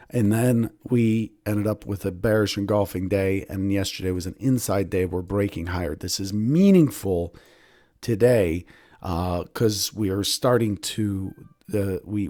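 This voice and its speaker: A man, a professional trader and show host, giving daily market analysis in a hoarse voice, clearing his throat often. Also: on the low side, no room sound, clean studio sound. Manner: steady analytical talk with frequent throat clearing.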